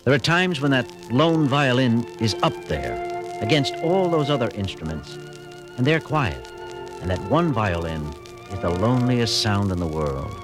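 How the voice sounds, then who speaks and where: A commanding male starship captain in a vintage record drama. His delivery is earnest and clipped, swinging widely in pitch, with music underneath. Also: big pitch swings, measured pace, low-quality recording. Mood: reflective, wistful. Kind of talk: 1970s children's audio drama acting.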